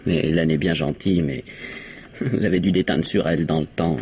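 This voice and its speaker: A spoiled young man of twenty-two in a French radio mystery. He is insolent, nervy and mocking, with a low voice. Some noise sits on the vintage-style recording. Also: fairly even intonation, brisk, darkish tone. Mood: insolent, defiant. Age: 20s. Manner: mocking, defiant. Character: cynical, insolent, nervy rich man's son of twenty-two.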